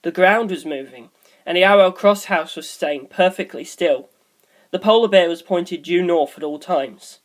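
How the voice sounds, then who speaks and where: A woman's voice: on the low side, moderate pace. A woman reading scripted story narration as an audiobook narrator.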